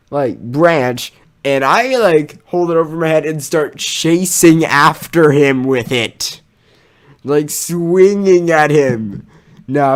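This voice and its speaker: Animated young man telling stories. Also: a little high. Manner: animated, exaggerating, slangy and sweary. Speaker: storytelling YouTuber. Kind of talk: casual personal storytime.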